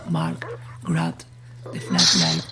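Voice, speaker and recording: A woman narrating a scripted horror story in a hushed, breathy, measured way. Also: heavy background noise.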